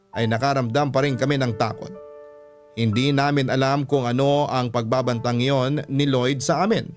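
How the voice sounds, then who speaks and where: A man with a Filipino accent giving scripted narration as the storyteller of a classic-style radio drama. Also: mid-range voice. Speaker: storyteller and host. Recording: music behind the voice.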